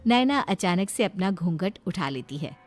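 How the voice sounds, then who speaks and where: A woman's voice: fairly low pitch, animated, moderate pace. A woman reading scripted narration for a cartoon moral story. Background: music under the voice.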